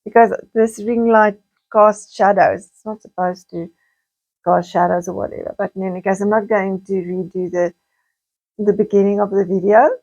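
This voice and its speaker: Woman, South African accent, medium pitch, slightly croaky, casual vlog chat, rambling, repeated words. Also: a little mellow, poor audio. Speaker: video blogger. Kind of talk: casual chatty vlog monologue.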